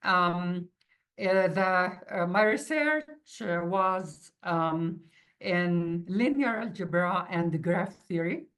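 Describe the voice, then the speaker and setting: A middle-aged woman, a native Arabic speaker with an Arabic accent, gives an informal interview account in a halting way, searching for words. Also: on the low side, animated, a bit bright. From Palestinian refugee from the Middle East. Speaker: university mathematics instructor.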